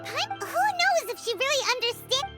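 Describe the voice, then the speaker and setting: A woman voicing a tiny, excitable, childlike fairy companion in a video game, squeaky, chatty and fretful. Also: moderate pace, heavily acted. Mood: fretful, eager. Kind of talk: cartoonish video game voice acting. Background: tinkling childlike music.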